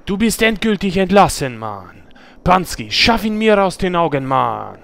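A man's voice: high-pitched, big pitch swings, measured pace, gravelly, a bit bright, full character voice. A man playing a bossy, indignant troll rogue who grumbles and scolds in a German parody audio play. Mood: indignant, scolding. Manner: grumbling, tags phrases with "Mann".

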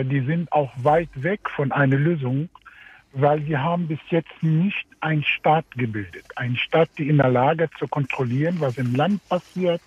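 A man from Tunisia calls in to a radio program with a fairly high voice. He speaks earnestly in slightly broken German. The line sounds dull and lo-fi, with a faint hiss behind him. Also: darkish tone. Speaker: caller. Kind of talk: call-in comment. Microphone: telephone. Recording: dull phone-line call.

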